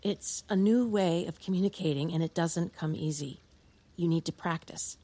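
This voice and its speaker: Audio drama: actress as measured, reassuring female therapist, low mellow husky voice, neutral and gently guiding. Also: natural voice. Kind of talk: naturalistic audio drama acting.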